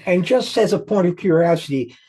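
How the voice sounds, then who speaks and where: Mellow, husky male host. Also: high voice, lively intonation, fairly quick. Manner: brief, curious prompting. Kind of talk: interview host questions.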